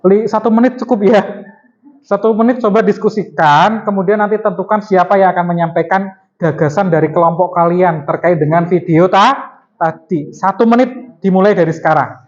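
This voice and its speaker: A man's voice: sits high, normal speed, lo-fi sound. A man teaching a class, prompting students with short questions and repeating their answers.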